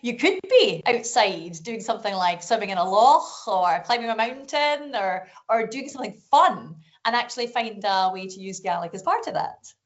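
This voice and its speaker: A woman's voice: medium pitch, expressive, normal speed, few pauses. A Scottish woman hosting a live online lesson for young schoolchildren, upbeat and encouraging. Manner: upbeat, encouraging, sings call-and-response phrases. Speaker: festival director hosting a school session. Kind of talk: lively children's lesson presenting. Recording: lo-fi video call audio.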